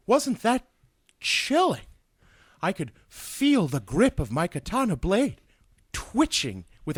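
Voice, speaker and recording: A man's voice: high voice, breathy edge, no echo, studio quality. A man playing a boastful shogun who hosts a spooky-story podcast in a pompous, exaggerated way. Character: boastful samurai shogun host with a katana. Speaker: podcast host in character. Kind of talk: theatrical spooky-story hosting.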